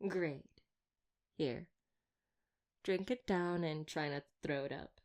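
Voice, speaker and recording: Wry female vampire hunter, voiced by an actress, slightly low voice, glib flirty banter, caring underneath, intimate audio roleplay. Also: slow pace, darkish tone. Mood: soft, caring.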